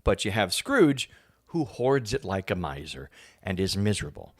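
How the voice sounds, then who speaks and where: A man's voice: low voice, big pitch swings, normal speed, dry recording, studio quality. A man being interviewed as an actor, explaining casually and often searching for his words.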